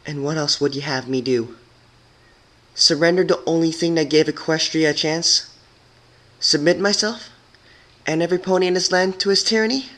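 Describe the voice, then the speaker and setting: Man acting out an anguished high-pitched unicorn queen. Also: normal speed, long pauses, fairly bright tone, natural voice. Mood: furious, desperate. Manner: indignant, impassioned pleading. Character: Twilight, anguished powerful female unicorn ruler.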